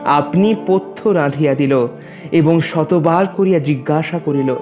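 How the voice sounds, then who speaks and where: A man narrating a literary story in a measured, expressive, formal reading. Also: fairly high pitch, over music.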